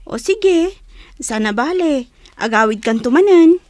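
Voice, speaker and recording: A woman voices a clingy, affectionate little sister in a radio drama. The voice is high and lilting with a Filipino accent, recorded close and dry. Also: animated, a little slow, a little mellow, no room sound.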